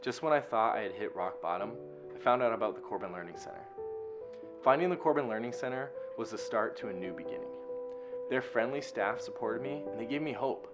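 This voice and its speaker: A man's voice: medium pitch, expressive, brisk. A young man giving a scripted testimonial voice-over for a short commercial, earnest and reflective. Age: young adult. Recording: loud guitar music behind.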